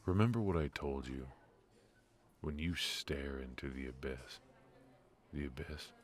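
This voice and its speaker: An actor playing a brooding, ruthless mafia boss in an intimate noir ASMR roleplay, speaking in a measured, weary, confiding way with heavy pauses. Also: male voice, extremely low pitch. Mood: resolute, reflective.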